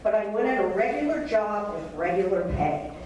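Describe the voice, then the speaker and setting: A woman's voice: mid-range voice, very animated, moderate pace, a little mellow. An older woman from Ohio reading aloud a personal childhood story in a nostalgic storytelling manner. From Columbus, Ohio. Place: meeting hall. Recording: echoey hall from a distance, faint noise.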